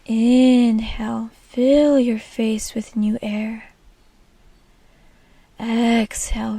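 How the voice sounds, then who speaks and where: A woman leading a guided meditation in a soft, breathy, half-whispered and soothing delivery. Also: on the high side, no room sound.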